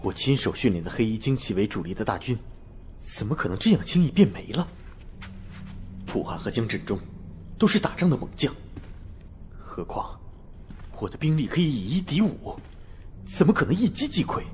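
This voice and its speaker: An actor playing an ailing, brooding prince and commander, speaking in a subdued way in a Chinese period audio drama. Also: male voice, medium pitch, big pitch swings, moderate pace, long pauses, a little mellow, a little hiss or hum, close to an everyday voice. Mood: troubled disbelief.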